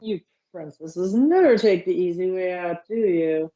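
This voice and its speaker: Sly male trickster creature, played by a woman, mellow, smooth and teasing, for a fantasy audio drama; slightly muffled recording. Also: fairly low pitch, expressive. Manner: smooth, teasing, drawn-out delivery.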